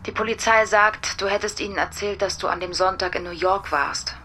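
An actress playing a guarded, reproachful young woman in a German radio drama, speaking in a measured, questioning way. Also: mid-range voice, fairly even intonation, bright, forceful tone, a little hiss or hum, close to an everyday voice.

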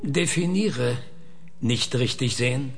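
An actor playing a sixty-eight-year-old scientist in a radio drama, questioning in a measured, methodical and patient way. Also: male voice, medium pitch, expressive, soft background music. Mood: patient, probing. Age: 60s. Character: probing, patient male senior scientist, aged sixty-eight.

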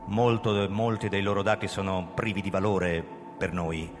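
Man acting older police commissioner, deep voice, proud and guarded, theatrical radio drama narration, long hall reverb, music behind. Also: level tone, moderate pace, close to an everyday voice. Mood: authoritative. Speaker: actor narrating and playing the lead.

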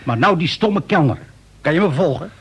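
An actor playing an eccentric, opinionated inventor in a radio play, chatty and indignant, with emphatic rhetorical delivery. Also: male voice, fairly high pitch, lively intonation, a little fast, breathy edge, heavily acted. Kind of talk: Dutch radio play dialogue.

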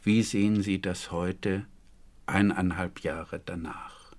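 A man interviewing for a radio documentary in a formal, deliberate, measured way. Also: deep voice, steady tone.

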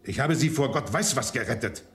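An actor playing a smug, jovial, cynical schemer, theatrical and goading, in a German radio crime play. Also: male voice, medium pitch, animated, fairly quick, a bit bright. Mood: smug, needling.

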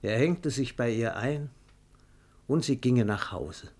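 A man narrating a literary radio drama in a measured, matter-of-fact manner. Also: mid-range voice, animated, a little mellow.

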